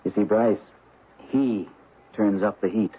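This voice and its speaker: An uneasy, earnest husband from a haunted-house radio play, played by an actor. His voice sits fairly low, inside a tinny, narrow broadcast sound. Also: measured pace, a little hiss or hum, close to an everyday voice. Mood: puzzled. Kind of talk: radio drama acting.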